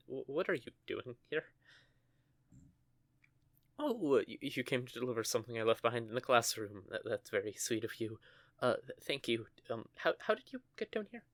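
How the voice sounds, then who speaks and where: A man's voice: medium pitch, very animated, normal speed, pauses often, a bit bright, no room sound. A young man voicing a nervous, stuttering young male witch in an audio roleplay, recorded close and dry. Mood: flustered. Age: young adult. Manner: stammering, flustered.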